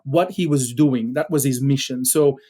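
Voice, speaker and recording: A middle-aged Belgian man speaks as a podcast guest in a mellow, dark tone. He talks in a halting way, full of fillers and restarts, as he tells a story. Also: mid-range voice. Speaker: podcast guest, company founder.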